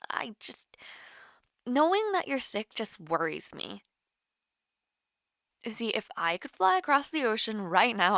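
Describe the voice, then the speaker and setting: Woman acting a shy, high-voiced young college girl. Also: creaky, no echo, studio quality, full character voice. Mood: caring. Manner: hesitant, flustered, soft-hearted, giggly. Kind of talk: intimate romantic audio roleplay, one-sided call.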